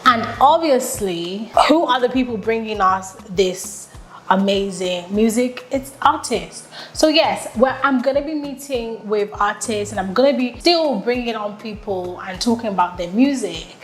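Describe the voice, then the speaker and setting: A woman's voice: a little high, a little slow, echoey room. A woman in her thirties from Nigeria, hosting a casual solo podcast in an enthusiastic, chatty way full of "like" and "literally.". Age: 30s. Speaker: podcast host.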